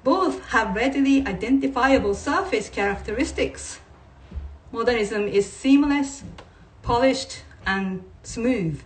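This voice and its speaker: Woman reading aloud, distant from the mic. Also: a little high. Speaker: writer reading aloud from a book. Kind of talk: read-aloud of non-fiction with brief informal asides.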